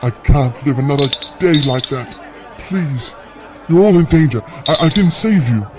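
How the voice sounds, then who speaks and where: A man's voice: a little high, lively intonation, a crowd in the background, background noise. Man acting a desperate, pleading condemned prisoner. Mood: desperate, frantic. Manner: pleading, halting. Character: desperate condemned male prisoner begging for mercy. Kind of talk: audio drama acting.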